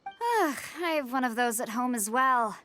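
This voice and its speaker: An actress playing a weary, sceptical married housewife in a video game. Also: female voice, on the high side, lively intonation, normal speed, bright, forceful tone. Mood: weary, complaining. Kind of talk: scripted video game voice acting.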